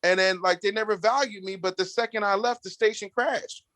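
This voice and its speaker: A man guesting on a podcast, talking in a loud, booming, blunt and profane way, sometimes slipping into mocking voices. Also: steady tone, fairly quick, no echo. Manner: slips into mocking put-on voices. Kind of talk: profane casual chat.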